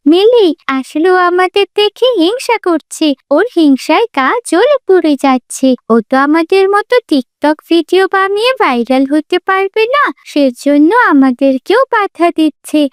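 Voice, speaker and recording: A very high-pitched cartoon schoolgirl, pushy and excitable. She brags and coaxes in a dry studio sound. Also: measured pace, few pauses, dry recording. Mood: dismissive. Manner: excitable, dismissive, coaxing. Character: pushy, boastful schoolgirl obsessed with going viral. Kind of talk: cartoon voice acting.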